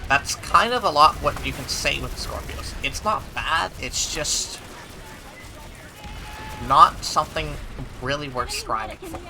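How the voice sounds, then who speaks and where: A man's voice: on the high side, expressive, measured pace, creaky. A man giving an analytical, casual review as commentary while he plays a video game. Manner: analytical, talks while playing. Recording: gunfire and game battle noise behind. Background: video game gunfire and vehicles.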